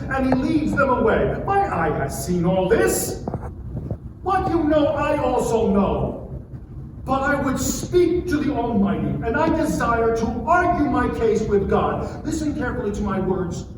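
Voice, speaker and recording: A man playing an anguished, defiant man pleading against God, declaiming with drawn-out phrases in a dramatic scripture reading. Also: extremely high pitch. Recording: distant, very echoey, noisy live room.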